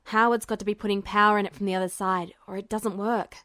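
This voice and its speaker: A brash teenage boy, voiced by a woman, casual and stubborn with a quick temper. His talk is offhand and slangy, a fan fiction audiobook character recorded very dry. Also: medium pitch, steady tone, pushed and bright, dry recording, voice pushed down, natural voice. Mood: frustrated, insistent.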